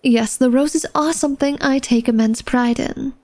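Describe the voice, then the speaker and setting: A woman's voice: sits high, steady tone, normal speed, darkish tone, lightly acted. A woman playing an elegant, secretive vampire lady of a manor in a flirtatious ASMR roleplay, speaking formally and coyly to the listener. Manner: formal, genteel, coy, teasing speech addressed to the listener.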